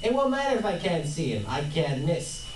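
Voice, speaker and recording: Distant noisy recording, a man acting menacing gangster boss, tough-guy slang, lively pitch, radio drama. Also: moderate pace. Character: cocky trigger-happy gangster boss, bossy and menacing. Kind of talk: live old-time radio crime drama reading with comic ad-libs. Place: convention panel room. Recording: echoey noisy convention room from far off.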